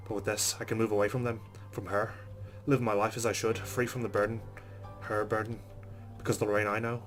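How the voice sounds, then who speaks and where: A man hosting a let's play, reading visual novel narration aloud and adding casual asides. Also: lowish voice. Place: recorded voice-over with game music behind.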